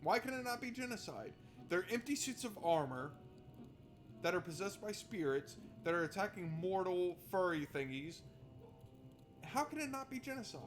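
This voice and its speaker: A man doing let's play commentary on a visual novel, reading narration aloud and heckling it in a sarcastic, exasperated, sweary way. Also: sits high. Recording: music behind the voice. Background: game music.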